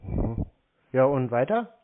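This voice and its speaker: A man's voice: mid-range voice, big pitch swings, slow pace, a little hiss or hum. A man chatting on the phone in a sarcastic, needling way. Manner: sardonic, needling. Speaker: scambaiter taking scam calls. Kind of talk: sarcastic prank phone chat. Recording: tinny narrow phone line.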